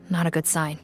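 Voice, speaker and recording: A woman playing a serious, decisive squad leader in a video game, composed and commanding. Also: sits low.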